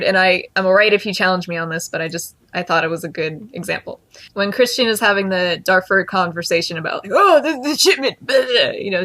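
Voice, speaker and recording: Woman, podcast co-host, casual book chat, candid, conversational, mid-range pitch, dry recording. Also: a bit bright, dry recording. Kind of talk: casual comedic book discussion.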